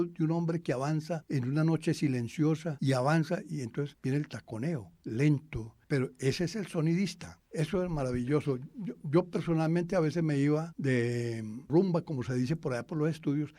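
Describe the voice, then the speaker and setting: Mellow, higher-pitched man reminiscing. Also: no room sound. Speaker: interviewee. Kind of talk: reminiscing in an interview.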